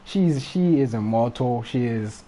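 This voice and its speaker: A man's voice: mid-range voice, animated, a little slow, mellow, a little hiss or hum, rough recording. A young man commentating casually on a live game stream, slangy, chatty and reactive.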